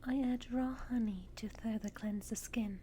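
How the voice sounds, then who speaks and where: A woman playing a devoted, gentle Regency lady's maid in a whispered ASMR roleplay, speaking in a hushed, formal, deferential manner. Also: on the high side, fairly even intonation, normal speed, a little hiss or hum, close to an everyday voice. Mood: reverent, attentive. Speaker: ASMR performer. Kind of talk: whispered ASMR roleplay, scripted period narration.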